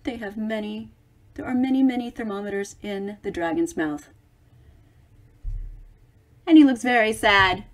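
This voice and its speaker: A woman's voice: medium pitch, a little slow, long pauses. A middle-aged woman reading a children's picture book aloud, expressively, with chatty explanations for young listeners. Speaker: storybook reader for children.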